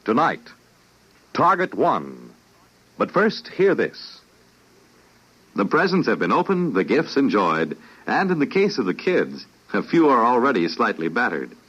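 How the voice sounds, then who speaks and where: A man's voice: medium pitch, wildly swooping pitch, unhurried, long pauses, a bit bright, husky. A man announcing in a dramatic, theatrical style of 1950s scripted radio. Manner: theatrical, portentous delivery. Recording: noisy, muffled vintage radio recording.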